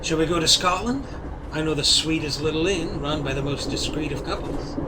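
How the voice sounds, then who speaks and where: A plucky gentleman adventurer acted by a man in an audio play. His voice sits a little high, and he is urgent, commanding and suave. The home recording has clear noise behind it. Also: normal speed, natural voice. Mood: suave, playful. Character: plucky, wrongly accused adventurer hero, male, insistent and suave. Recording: noisy home-recorded audio on consumer device.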